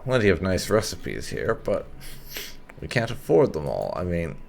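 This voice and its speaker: Man, deep voice, unhurried, lively intonation, casual gameplay commentary, thinking aloud, faint music behind. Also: vocal fry. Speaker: gaming video host. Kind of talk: casual gameplay commentary, lightly role-played. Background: game music.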